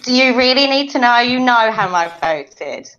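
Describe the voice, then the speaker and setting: A British woman with a high, bright voice joins a casual podcast as a guest. She is playful and laughs often between her remarks. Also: animated, normal speed, lo-fi sound.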